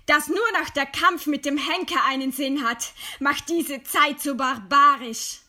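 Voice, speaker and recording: A woman's voice: sits high, unhurried, sharp tone, heavily acted. An actress playing a proud, scornful woman intellectual defying her executioner in eloquent, theatrical radio play declamation. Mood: defiant, bitter.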